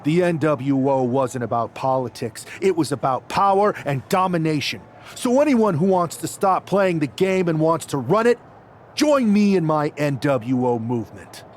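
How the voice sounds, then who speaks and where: A man's voice: fairly high pitch, animated, moderate pace, slight background noise, close to an everyday voice. A man playing an ambitious newcomer pro wrestler and scheming rebel in a video game cutscene, speaking earnestly and with emphasis. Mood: defiant, emphatic.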